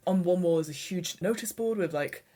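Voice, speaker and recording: Woman game master; slightly low, relaxed, descriptive delivery. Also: moderate pace, a little hiss or hum. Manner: relaxed, descriptive, hesitant fillers. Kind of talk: narrating a tabletop game.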